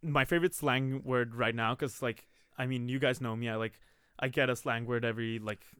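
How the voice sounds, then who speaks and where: Smooth-voiced young man. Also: mid-range voice, steady tone, brisk, a bit bright, dry recording, clean studio sound. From Colombia. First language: Spanish. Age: young adult. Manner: chatty, many "like" fillers. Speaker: guest. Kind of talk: casual interview chat.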